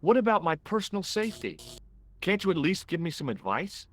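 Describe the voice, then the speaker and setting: A man's voice: sits high, sing-song, fairly quick. A man playing an easygoing, wry young American tourist with an American accent, talking casually in a video game. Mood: uneasy, wry.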